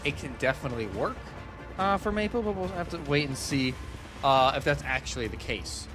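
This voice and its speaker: A man giving conversational, explanatory analysis as a live esports shoutcaster. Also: fairly high pitch, expressive, fairly quick. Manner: casual, explanatory. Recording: music behind the voice. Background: music.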